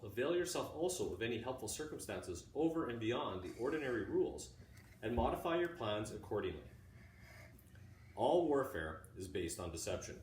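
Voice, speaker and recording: Low-voiced man reading aloud, echoey room. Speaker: teacher reading aloud for school families. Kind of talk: informal introduction and measured read-aloud of a classic book. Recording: roomy, distant mic, faint background noise.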